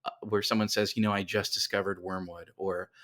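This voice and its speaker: A man's voice: deep voice, little pitch movement, fairly quick, fairly bright tone. A middle-aged man talking casually as a writer being interviewed, animatedly explaining things and often repeating words as he goes. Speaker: writer and podcast guest.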